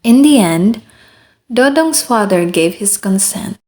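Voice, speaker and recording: A woman's voice: mid-range voice, expressive, slow, a little mellow, slight background noise. A woman presenting and narrating a scripted radio drama as its host. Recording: music playing behind the voice. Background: background music.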